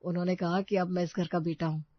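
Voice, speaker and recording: A woman playing a naive, gentle young male student in a cartoon story, hesitant, earnest and deferential. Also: lowish voice, flat delivery, quick pace, no room sound, highs cut off, natural voice.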